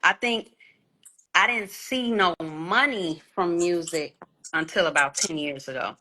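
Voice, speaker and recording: A woman's voice: on the low side, a little slow, pushed and bright, rough recording. A woman in her thirties, an R&B singer talking candidly in a casual interview, swearing freely and often repeating words. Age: 30s. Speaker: guest R&B singer and songwriter.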